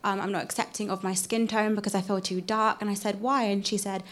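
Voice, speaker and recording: Flat, halting woman asking from the audience. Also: mid-range voice. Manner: halting, frequent "like". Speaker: audience member asking a question. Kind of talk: casual spoken question. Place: live panel event.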